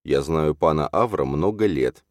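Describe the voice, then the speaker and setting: Man acting a courteous, weary, low-voiced healer. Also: expressive, measured pace, no echo, clean studio sound. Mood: resolute. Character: courteous, weary male healer and coachman, stubbornly dutiful. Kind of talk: audiobook character voice.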